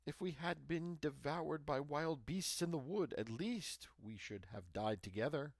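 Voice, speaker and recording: A poor little storybook girl, played by a man. The voice is lifted high and moves freely up and down, frightened but plucky. It is recorded dry. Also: animated, unhurried, no room sound. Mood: despairing.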